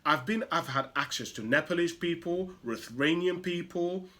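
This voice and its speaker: A man from Uganda hosting a motivational show, talking emphatically with rhetorical questions and swinging from loud to hushed. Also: on the high side, moderate pace. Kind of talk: impassioned motivational monologue.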